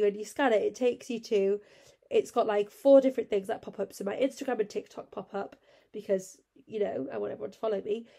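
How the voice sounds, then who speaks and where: A woman's voice: mid-range voice, gravelly, darkish tone. A young woman hosting her podcast from her bed, stuffed up with a cold and chatting in a rambling, casual way. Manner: chatty and rambling, with frequent fillers. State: congested with a cold. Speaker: podcast host. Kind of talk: casual solo podcast chat. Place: at home, in bed.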